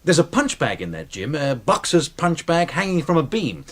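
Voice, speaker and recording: An actor playing a brash, excitable American knife-thrower in a radio drama, with slangy, animated talk and an American accent. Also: male voice, on the high side, moderate pace. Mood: curious, excited.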